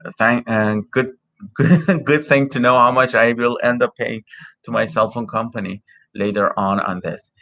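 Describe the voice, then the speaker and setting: A man's voice: medium pitch, fairly even intonation, moderate pace, vocal fry, pushed and bright. A middle-aged man whose first language is Farsi, hosting a live Q&A as an immigration consultant, hesitant with many fillers and restarts, speaking into a cell phone. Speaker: immigration consultant, livestream host. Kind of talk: live Q&A, reading and answering viewer questions. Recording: dull lo-fi phone audio.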